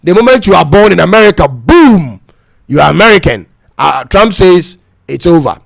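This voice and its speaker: A man's voice: high-pitched, expressive, moderate pace, vocal fry, dry recording, clean studio sound. A man hosting a casual live-stream talk show, musing and chatting to his audience. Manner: chatty, reflective, addresses "ladies and gentlemen". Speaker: host.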